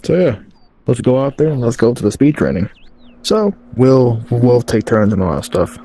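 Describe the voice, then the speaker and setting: A man playing a gruff, chain-smoking off-duty villain with a deep, rough smoker's voice, rambling and swearing casually in a game roleplay. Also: sing-song, moderate pace, dark tone, a little hiss or hum, natural voice. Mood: laid-back.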